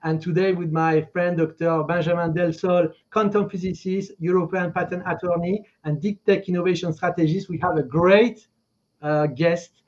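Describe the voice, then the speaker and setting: A man hosting a live online interview, speaking haltingly and effusively, often repeating words. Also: sits high, steady tone. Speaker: interview host. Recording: dull, lo-fi call audio.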